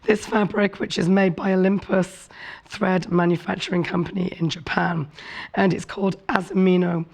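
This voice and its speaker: Hoarse, raspy woman presenting a sewing show. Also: on the low side, little pitch movement, moderate pace, a little mellow. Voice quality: rough, raspy voice. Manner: chatty, apologetic, friendly explainer. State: hoarse from a cold. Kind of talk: televised sewing demonstration. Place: TV studio set.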